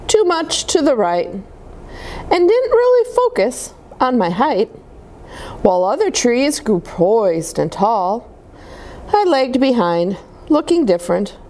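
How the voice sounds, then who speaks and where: A woman plays a gentle little storybook tree, wistful and small. The voice is slightly high and very expressive in pitch, moving slowly through short, halting phrases. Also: a little hiss or hum, full character voice. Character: small, lonely, gentle young tree telling its life story.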